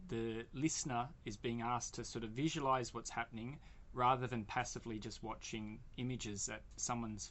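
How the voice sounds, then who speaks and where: A man who works as a podcast editor gives a casual, slightly hesitant spoken recommendation, searching for his words. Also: medium pitch.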